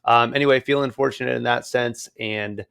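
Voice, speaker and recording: A man hosting a solo real estate podcast in a casual, rambling way with many fillers, his voice hoarse as it recovers. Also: medium pitch, steady tone, normal speed, fairly bright tone. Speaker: podcast host.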